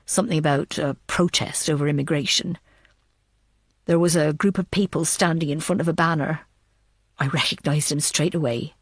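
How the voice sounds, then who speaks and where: A woman voicing a middle-aged male ex-prisoner in an audiobook, telling his story in a measured, self-satisfied way. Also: low-pitched, fairly even intonation, husky, dry recording, clean studio sound, pitched below the performer's own voice. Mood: defensive, matter-of-fact. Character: middle-aged male ex-prisoner, desperate, smugly reminiscing to interrogators.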